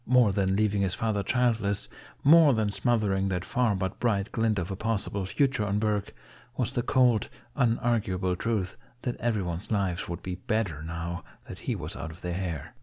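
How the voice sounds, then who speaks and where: A man's voice: fairly low pitch, moderate pace, darkish tone. A man reading a story aloud as an audiobook narrator, in a scripted, dramatic storytelling style.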